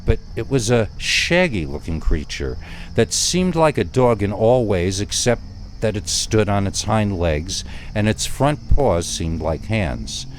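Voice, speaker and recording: Deep-voiced man telling a scary story. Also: big pitch swings, a little slow, slight background noise. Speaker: narrator of scary stories. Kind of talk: dramatic first-person horror storytelling.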